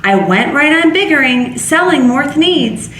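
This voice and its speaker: A woman's voice: sits high, expressive, normal speed, a bit bright. A woman reading a rhyming children's storybook aloud as narration. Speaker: school staff story reader. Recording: echoey room, faint background noise.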